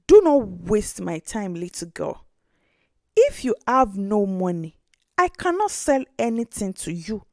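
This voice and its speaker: A woman's voice: mid-range voice, big pitch swings, unhurried, darkish tone, no echo, studio quality. A woman voicing a brusque male street trader in a storybook tale. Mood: dismissive. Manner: calling out, dismissive. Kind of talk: storybook character voice.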